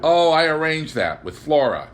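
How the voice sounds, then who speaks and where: Guy doing a cheeky proud little boy in an audiobook, sweet, loud n emphatic, very animated. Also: fairly high pitch, moderate pace, slight background noise, voice pushed up. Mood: gleefully confessing. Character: precocious young boy, sweetly brazen and proud.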